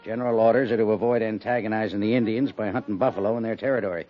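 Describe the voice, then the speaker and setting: An American man playing a brisk, stern but understanding army major in a 1950s radio drama. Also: fairly low pitch, steady tone, faint music behind, lightly acted. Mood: firm, official. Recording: muffled 1950s radio recording.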